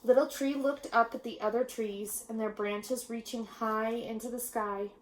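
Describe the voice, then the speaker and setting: A woman reading a children's picture book aloud in a measured, expressive storytelling manner with pauses. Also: on the high side, poor audio. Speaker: storybook reader. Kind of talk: children's read-aloud narration.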